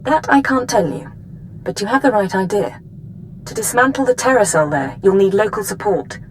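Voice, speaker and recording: A woman's voice: mid-range voice, animated, moderate pace, a little hiss or hum, close to an everyday voice. An actress playing a formal, businesslike female intelligence officer who gives mission briefings in a video game. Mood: formal, composed. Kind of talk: scripted video game dialogue.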